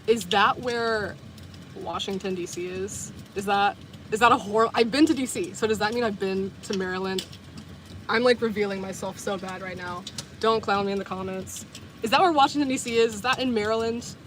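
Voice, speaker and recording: A woman's voice: medium pitch, moderate pace. Chatty young woman with background noise. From US South. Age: 20s. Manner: chatty, rambling, full of "like" and self-corrections. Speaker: vlogger and livestreamer. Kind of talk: casual chat with viewers.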